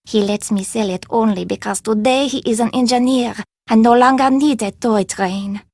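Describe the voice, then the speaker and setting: A proud woman selling curios at a market in a video game. Her voice is fairly high and recorded dry. She haggles stubbornly in formal, foreign-sounding phrases. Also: normal speed, a little mellow, no room sound. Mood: proud, insistent. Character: proud, stubborn female street vendor of curios. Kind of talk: video game character dialogue.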